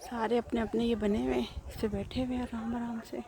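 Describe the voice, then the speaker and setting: A woman's voice: on the high side, flat delivery, racing, vocal fry, warm tone. A woman vlogger giving casual running commentary on what is around her. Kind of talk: casual vlog commentary on surroundings. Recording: busy crowd noise around. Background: crowd chatter.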